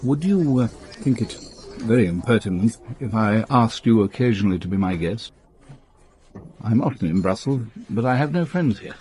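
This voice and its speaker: An actor playing a courteous, solitary gentleman in a British radio drama, formal and precise, with a gently instructive manner. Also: on the low side, expressive, normal speed, lightly acted. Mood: gently inviting.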